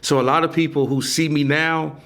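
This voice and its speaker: A man's voice: a little high, steady tone, a little fast, a bit bright. A man in his forties, a playwright guest on a podcast, talking loosely and emphatically with lots of "you know" and easy laughs. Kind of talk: casual conversation.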